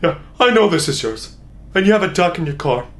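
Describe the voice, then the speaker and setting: A man's voice: a little high, animated, brisk, natural voice. A man voicing a nosy, confrontational upstairs neighbor in a comic story, probing and accusatory. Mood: accusing.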